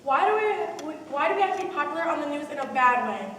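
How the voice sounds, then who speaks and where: A woman's voice: high voice, expressive, quick pace, a bit bright. A woman playing an anxious, fretting character in a school one-act play. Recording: echoey hall from far off, faint noise.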